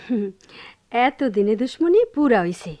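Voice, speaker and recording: A woman's voice: a little high, sing-song, unhurried, warm tone. Brooding village woman, wildly swooping voice. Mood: bitter triumph. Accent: rural Bangladeshi Bengali. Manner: rambling, talking as if to herself, repeating phrases. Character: unhinged, brooding village woman gloating over old enmity. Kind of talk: Bengali radio drama monologue.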